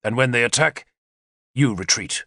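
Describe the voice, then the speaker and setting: A man's voice: mid-range voice, normal speed, vocal fry, pushed and bright, dry recording, studio quality. A man voicing a confident male war leader who eagerly lays out battle plans, in a decisive, commanding manner. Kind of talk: audiobook character reading.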